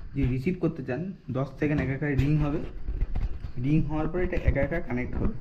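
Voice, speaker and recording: Man demonstrating gear, dull lo-fi sound. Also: mid-range voice. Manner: explanatory, step by step, repeats "okay" as a check. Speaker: product reviewer. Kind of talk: casual product demonstration vlog. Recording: dull lo-fi sound with faint noise.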